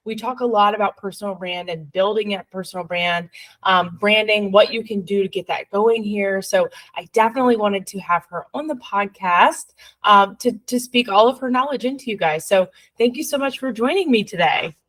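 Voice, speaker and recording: A woman's voice: medium pitch, normal speed, a bit bright, poor audio. A woman with an English accent hosting a podcast, upbeat and chatty as she introduces and questions her guest. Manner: upbeat, chatty, with many fillers and restarts. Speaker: podcast host. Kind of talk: podcast interview.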